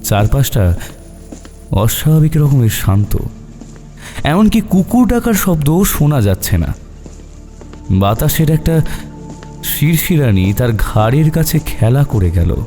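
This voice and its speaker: A man's voice: mid-range voice, sing-song, measured pace, long pauses, mellow. A man narrating a scripted horror story with suspenseful, dramatic phrasing. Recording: long reverb over eerie music.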